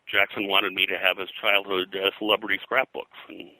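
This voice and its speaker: A man's voice: low voice, flat delivery, fairly quick, pushed and bright, dry recording, rough recording. An older man talking as an interview guest, reminiscing in conversational, detailed stories with digressions. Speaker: interview guest, pop culture historian and comics artist.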